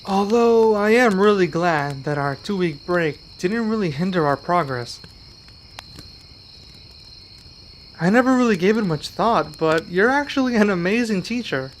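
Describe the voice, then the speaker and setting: A man's voice: high voice, animated, normal speed, hardly any pauses, a little hiss or hum. A man playing an earnest young werewolf learning magic in an ASMR roleplay, speaking to one listener with some hesitation. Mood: grateful, admiring.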